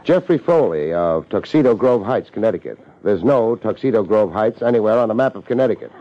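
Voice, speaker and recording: A man's voice: on the low side, lively intonation, fairly quick, lightly acted. A man acting as a world-weary, wisecracking private detective in a 1950s radio drama, talking in wry hardboiled slang. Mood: suspicious. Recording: muffled old radio drama with music.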